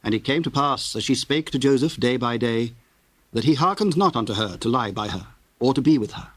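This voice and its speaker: A man narrating scripture in a solemn, measured, formal way, reading from a script. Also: mid-range voice, lively intonation, a bit bright, dry recording.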